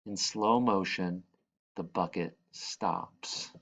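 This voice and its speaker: A man reading a children's picture book aloud, expressively, with dramatic and deliberate phrasing. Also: deep voice, gravelly, fairly bright tone. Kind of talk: expressive read-aloud narration.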